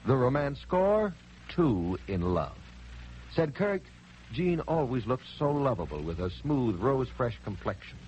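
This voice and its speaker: A man's voice: medium pitch, very animated, unhurried, darkish tone, lo-fi sound. An American man narrating advertisements as a polished 1940s radio announcer, telling little stories to sell. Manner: polished storytelling salesmanship. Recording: faintly noisy 1940s radio recording.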